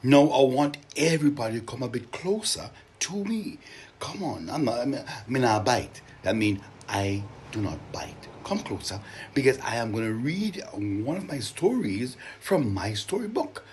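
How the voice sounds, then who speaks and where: A man with a Vincentian accent telling stories to children, expressive and deliberate, with dramatic emphasis. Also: mid-range voice, slight background noise. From Saint Vincent and the Grenadines. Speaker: children's storyteller and author. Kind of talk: live storytelling to children.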